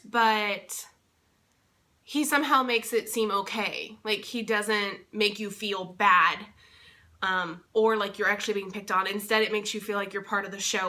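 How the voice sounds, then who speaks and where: Young woman, congested, bright tone, chatty, rambling, vlog update. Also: mid-range voice. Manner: chatty, rambling with many fillers. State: congested, recovering from lost voice. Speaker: vlogger and convention interpreter.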